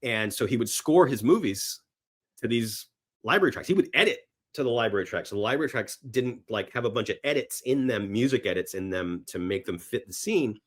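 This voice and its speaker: A man chatting casually and enthusiastically as a podcast guest about films, halting and rambling, sometimes voicing imagined reactions. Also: medium pitch, lively intonation. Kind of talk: casual film talk in an interview.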